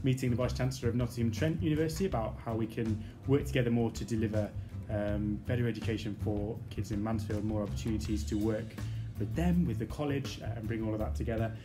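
A man's voice: on the low side, steady tone, quick pace. Guy in his 30s vlogging on his phone while walking around, lots of ums, music in the background. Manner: chatty, many fillers, talks while walking. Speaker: member of parliament. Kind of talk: casual vlog narration. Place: offices and corridors, outdoors at night. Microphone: phone camera at arm's length.

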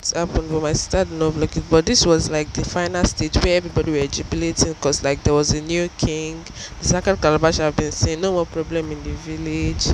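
A woman's voice: low voice, level tone, a little fast, few pauses, a crowd in the background, background noise. A young adult woman with a Nigerian accent giving a casual, explanatory vlog recap as a student storyteller. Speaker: student vlogger.

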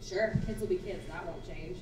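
A young woman on a small theater stage playing a blunt, tough-talking woman who is proud and unbothered, with a curt, deadpan delivery. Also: lowish voice, normal speed, a little hiss or hum, natural voice. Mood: defiant. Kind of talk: live stage acting. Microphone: far room mic. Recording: roomy theater sound from far off.

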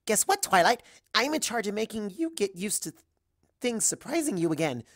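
Man as bubbly female pony, very high, loud, bouncy, exclaiming, storybook character. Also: big pitch swings, normal speed, no room sound, clean studio sound, heavily acted. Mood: gleeful.

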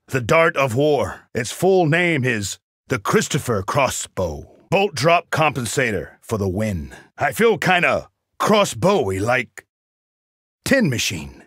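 An actor playing a cocky, wry assassin mercenary in a video game, delivering short quips in a dry, sardonic, boastful way. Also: male voice, medium pitch, a bit bright, breathy edge, no room sound, full character voice. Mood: boastful, playful.

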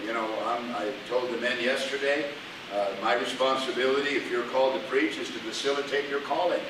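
A pastor speaking plainly and emphatically to a men's meeting in a church meeting room. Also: male voice, medium pitch. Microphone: far camera. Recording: echoey room from far off with hiss.

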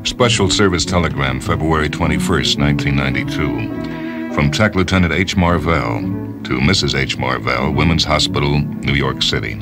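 A man playing a terse official voice that reads out a military telegram in a clipped, formal, deliberate way. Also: very deep voice. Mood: flat, official. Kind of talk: dramatized telegram reading. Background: loud guitar music.

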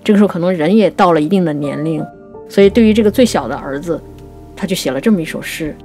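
A woman co-hosting a poetry programme, explaining classical literature conversationally and slowing down to recite quotations. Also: fairly low pitch, dark tone, soft background music. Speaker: writer and co-host of a poetry programme.

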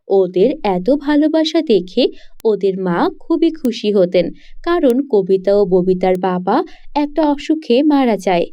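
A woman's voice: a little high, dull audio. A woman narrating a scripted cartoon story as the storyteller.

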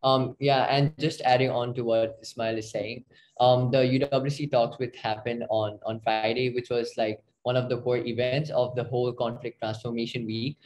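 Flat-toned young man on a panel. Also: medium pitch, normal speed, rough recording. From Nepal. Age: young adult. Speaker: student panelist. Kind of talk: earnest panel answer.

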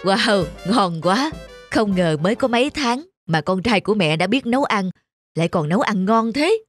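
Woman voicing a gentle, doting cartoon mother. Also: mid-range voice, lively intonation, moderate pace, close to an everyday voice. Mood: tender. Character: affectionate, caring middle-aged mother. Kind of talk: animated moral-story dialogue. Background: background music.

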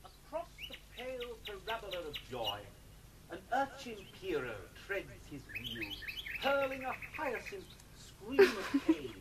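An actor playing a gentleman in a radio comedy, grandly and confidently reciting absurd modern poetry. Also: high voice, huge pitch swings, measured pace, heavy background noise, exaggerated voice. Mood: grandiose. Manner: declamatory, sublimely confident.

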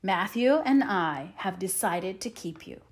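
A woman voicing a stern, practical older female guardian in an audiobook, brisk and matter-of-fact. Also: mid-range voice, animated. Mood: measured, practical. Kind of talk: audiobook character voice.

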